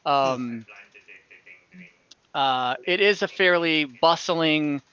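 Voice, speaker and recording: A man's voice: on the high side, drawn-out words, sharp tone, clean tone, dull audio. A man running a tabletop game as game master, explaining the world in a conversational, improvised narration over an online call.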